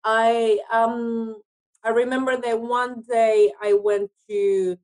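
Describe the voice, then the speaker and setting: Argentine actress as interview guest, native Spanish speaker, higher female voice, halting conversational English. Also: little pitch movement, a little mellow, low-quality recording. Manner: hesitant non-native English, repeats words.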